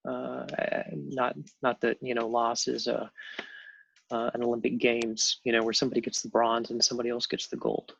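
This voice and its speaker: A man in his fifties telling his own story as a guest, halting with many pauses and fillers. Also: medium pitch, flat delivery, gravelly, a bit bright, highs cut off, a little hiss or hum. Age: 50s. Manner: halting, many ums and restarts. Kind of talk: personal storytelling on a panel show.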